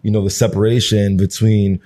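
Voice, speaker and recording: Soft-toned Nigerian-born man reflecting. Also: lowish voice, little pitch movement, moderate pace. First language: Igbo. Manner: reflective, loose, full of "like" and "you know". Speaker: guest. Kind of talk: conversational interview.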